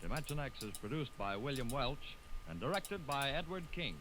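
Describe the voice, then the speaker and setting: A man's voice: mid-range voice, expressive, moderate pace. A man announcing credits in formal 1950s radio style on a vintage recording.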